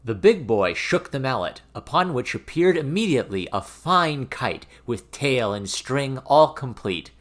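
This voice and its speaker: A man reading a fairy tale aloud as an expressive, theatrical storybook narration. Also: medium pitch, measured pace, few pauses. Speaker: storyteller, reader of fairy tales.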